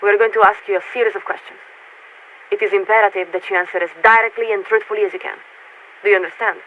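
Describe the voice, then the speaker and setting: An actress playing a formal, detached warship officer who speaks for a committee in clipped, measured phrases through a radio filter in a video game. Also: female voice, medium pitch, fairly even intonation. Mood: grave, commanding. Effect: radio transmission filter. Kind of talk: scripted video game voice acting. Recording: tinny, narrow-band radio with static.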